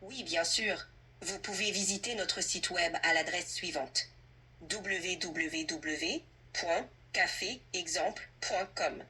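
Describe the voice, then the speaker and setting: A woman's synthetic assistant voice, husky, speaking fluently and politely as a tutor in a conversational role-play. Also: lowish voice, slow pace, sharp tone. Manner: polite, fluent, helpful, orderly. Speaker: AI language tutor playing an employer.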